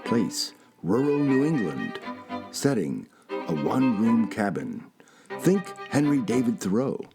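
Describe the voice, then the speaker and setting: A man's voice: mid-range voice, big pitch swings, slow pace. A man announcing and narrating a radio play in a scripted style. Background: string music.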